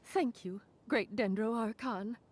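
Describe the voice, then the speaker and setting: A woman's voice: fairly high pitch, a little slow. A woman playing a polite, dutiful records clerk in a video game, courteous and businesslike. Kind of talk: scripted video game voice acting. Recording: background music under the voice.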